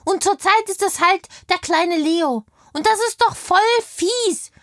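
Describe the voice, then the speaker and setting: A woman's voice: very high-pitched, measured pace, fairly bright tone, no echo, studio quality. A woman playing a chatty, indignant kindergarten girl in a children's audio play, telling things in childlike everyday words. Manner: childlike, colloquial storytelling.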